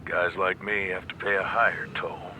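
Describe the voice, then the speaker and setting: Sarcastic gangster, deep voice, kinda whispery, music behind. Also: male voice, level tone, moderate pace, sharp tone, a little hiss or hum. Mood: sardonic. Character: sardonic gangster grieving on the phone. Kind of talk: video game cutscene dub. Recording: dialogue over game music.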